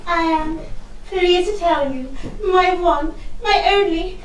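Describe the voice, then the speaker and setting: A woman's voice: very high voice, takes it slowly. A woman playing a naive, lovestruck young heroine in a rhyming costume drama, speaking in a prim, earnest, melodramatic manner. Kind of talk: theatrical rhyming costume-drama stage acting. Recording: roomy distant mic, faint noise.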